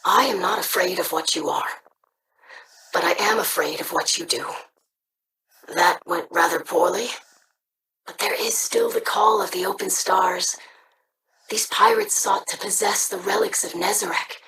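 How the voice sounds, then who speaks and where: A woman's voice: fairly low pitch, big pitch swings, unhurried, vocal fry, long pauses, pushed and bright, distant mic, slight background noise, exaggerated voice, rough recording. An actress playing an earnest, thoughtful young female alien scholar in a game, speaking formally. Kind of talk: game character dialogue.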